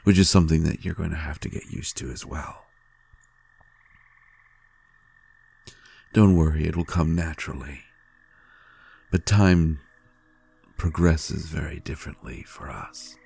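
A man playing a very deep, gravelly, tender vampire. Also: steady tone, no echo, heavily acted. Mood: wistful, hesitant. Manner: halting, soft, sincere, unhurried. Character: ancient male vampire, tender, melodramatic, devoted lover. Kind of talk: intimate roleplay audio acting.

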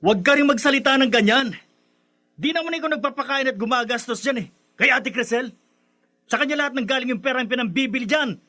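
High-voiced, reproachful young son. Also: male voice, fast, pushed and bright. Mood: indignant. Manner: reproachful, emphatic. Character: upset young son scolding his mother over cats. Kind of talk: Filipino radio drama acting.

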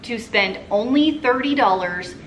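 A woman's voice: on the high side, expressive, a little slow, slight background noise. A woman in her thirties talking casually to the camera as a budget cooking vlogger, earnest and practical as she explains prices step by step. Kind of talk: casual vlog talk to camera. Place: living room, sitting on a couch.

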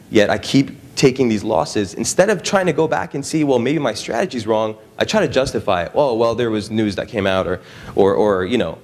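Conversational man giving a seminar talk. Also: fairly low pitch. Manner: conversational, frequent "right?" and "okay?" check-ins with the audience. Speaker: trading strategist presenting at an expo. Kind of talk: live seminar talk to an audience. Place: expo seminar room.

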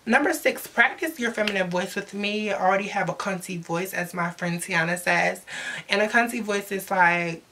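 A woman's voice: medium pitch, normal speed, pushed and bright. Young woman, sassy and slangy, talking close to the camera, calls everyone sis. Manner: chatty, sassy, slangy, addresses viewers as sis. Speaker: vlogger. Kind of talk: casual advice vlog. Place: indoors in front of a glittery backdrop. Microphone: camera at close range.

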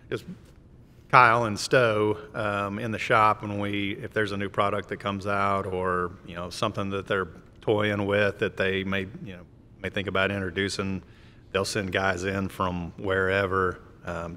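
Deep, flat, hesitant man on a panel. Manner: hesitant, many fillers. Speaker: contractor panelist. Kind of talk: panel discussion. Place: conference hall stage panel. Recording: reverberant conference hall, faint rumble.

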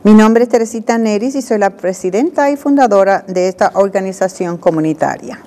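A woman's voice: mid-range voice, animated, a little fast, warm tone. A woman in her sixties hosting a community TV interview in a small studio, who often repeats her guest's details back. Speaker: host. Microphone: lapel.

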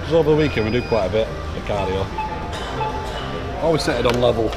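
A man's voice: expressive, quick pace. A middle-aged man with a Northern English accent chatting casually and bluntly, with some swearing, as he vlogs on a handheld camera. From northern England. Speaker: gym vlogger. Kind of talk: casual rambling vlog chat. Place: large indoor gym with metal roof. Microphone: handheld action camera at arm's length. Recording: loud electronic gym music behind, action camera. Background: loud drum and bass music.

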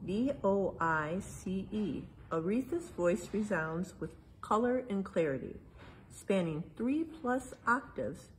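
A middle-aged woman reading a picture book aloud to children in a museum hall, spelling out each page title and then explaining it gently. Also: mid-range voice, lively intonation, slow, a little hiss or hum. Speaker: museum storytime reader. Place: indoor museum exhibit hall.

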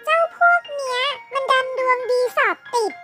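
A woman's voice: extremely high pitch, fairly even intonation, moderate pace, pushed and bright. A woman voicing a haughty rich teenage schoolgirl who mocks scholarship students, in a fan-made cartoon. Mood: sneering. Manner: sneering, mocking. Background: background music.

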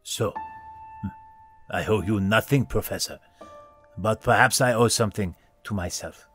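Guy acting as proud young hero, low voice, haughty and formal, bit of music behind. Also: measured pace, fairly bright tone, breathy edge. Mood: proud, reluctant. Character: proud, aloof young Japanese male hero.

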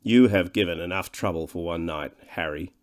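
A man's voice: low voice, moderate pace, close to an everyday voice. A man voicing a contemptuous, domineering scientist in an audiobook, blunt and scornful. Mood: stern. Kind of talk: audiobook character dialogue.

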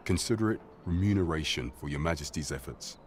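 An actor playing a grave, loyal knight captain in a fantasy game, speaking formal archaic English in a measured, courtly way. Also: male voice, very deep voice, lively intonation. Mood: courteous.

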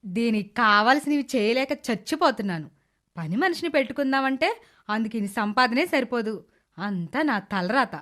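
A woman's voice: on the high side, lively intonation, normal speed, fairly bright tone. A woman voicing a bitter, hot-tempered stepmother who scolds and complains in a dramatized moral story. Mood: bitter, self-pitying. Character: bitter, hot-tempered stepmother, grudging housewife and doting mother.

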